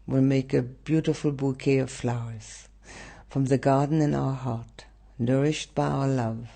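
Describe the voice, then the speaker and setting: Man, guided meditation, slow pace, soothing, gentle, gravelly fry, long pauses. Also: medium pitch, fairly even intonation. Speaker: meditation teacher.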